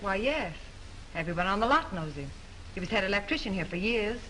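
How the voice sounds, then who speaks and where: An actress playing a guarded young film actress who gives cool, curt answers to the police, in 1930s film style. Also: female voice, mid-range voice, expressive, fairly quick, fairly bright tone, slight background noise, natural voice, low-quality recording.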